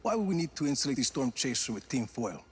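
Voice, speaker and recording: A cocky, very animated action hero. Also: male voice, mid-range voice, fast talker, slightly rough. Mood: brisk, wry. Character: cocky, brisk male rebel action hero. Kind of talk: video game cutscene acting.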